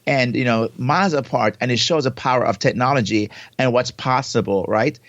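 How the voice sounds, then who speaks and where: A man's voice: medium pitch, a little fast, fairly bright tone, no echo. A man from Jamaica with a Jamaican accent, co-hosting a podcast over a remote link. He talks expansively, repeating and restarting his words. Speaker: podcast co-host. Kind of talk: casual podcast chat. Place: home in South Florida, remote recording. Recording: dull, lo-fi remote audio.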